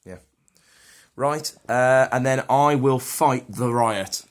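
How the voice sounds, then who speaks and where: A man casually playing a board game with friends, reading cards aloud and getting excited. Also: medium pitch, slow pace. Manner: excitable, reads card text aloud.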